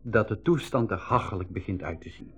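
A man's voice: fairly low pitch, lively intonation, a little fast, fairly bright tone, breathy edge, dull audio. A man with a Flemish accent playing a gloomy young brother who also narrates the adventure, in a 1980s school radio drama. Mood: gloomy, eager. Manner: pessimistic.

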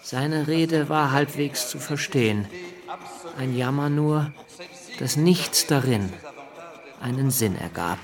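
An actor playing an earnest, dryly scornful revolutionary deputy reading his letters home in a measured radio drama manner. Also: medium pitch, crowd noise. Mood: earnest, sardonic.

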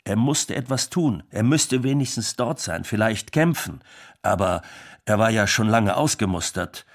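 Husky, earnest showbiz colleague. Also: male voice, on the low side, lively intonation, unhurried. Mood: earnest, concerned. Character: male showbusiness colleague, earnest and concerned, reminiscing. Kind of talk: radio play documentary monologue.

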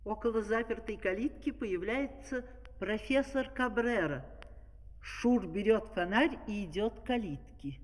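A woman's voice: medium pitch, a little slow, slight background noise. A woman narrating a fairy-tale opera in a scripted, dramatic storyteller's style. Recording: echoey hall, distant, old vinyl record.

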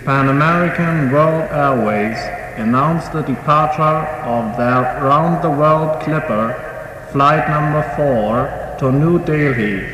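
A formal male airport announcer, speaking very slowly in a slightly high voice. The call echoes like a loudspeaker through very loud noise. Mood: official. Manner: deliberate, formal. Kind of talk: public address announcement in English.

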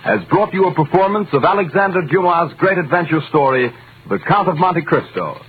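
A man announcing in formal, measured 1930s radio style, reading from a script. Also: on the high side. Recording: tinny, noisy vintage radio broadcast.

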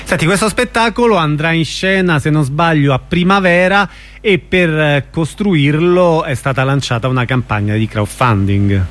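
A man with a fairly high-pitched voice hosts a radio programme. He interviews in an informal, conversational style. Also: moderate pace, a bit bright.

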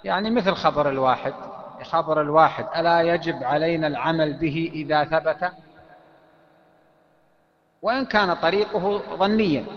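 A man teaching a classical legal text, explaining at length with pauses and fillers. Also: on the high side. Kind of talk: lecture on Islamic legal theory. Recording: echoey hall, dull lo-fi sound.

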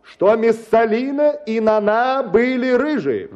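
A self-important guest lecturing the table in a declamatory manner. The voice sits high and swoops widely. It comes through a muffled, lo-fi radio recording. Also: male voice, expressive, a little mellow, heavily acted. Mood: pompous. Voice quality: declamatory, drawn-out emphasis. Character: pompous male guest lecturing on women. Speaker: dinner-party orator. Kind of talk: radio play acting.

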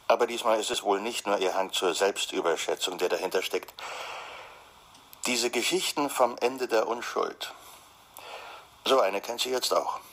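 A man's voice: on the low side, fairly bright tone. An actor playing a dry, sardonic male defence lawyer in a radio crime drama. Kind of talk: German radio drama, narrating and dialogue.

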